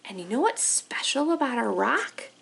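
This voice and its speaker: A woman leading an interactive storytime for young children, animated and sing-song, asking questions with exaggerated wonder. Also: fairly high pitch, moderate pace, vocal fry, rough recording. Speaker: children's librarian hosting storytime.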